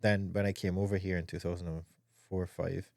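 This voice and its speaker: Man, deep low voice, halting casual speech, dry close mic. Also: little pitch movement, vocal fry, no room sound. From Barbados. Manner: soft-spoken, hesitant with repeated words and ums. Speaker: guest, para-bobsleigh athlete. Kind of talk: casual interview talk.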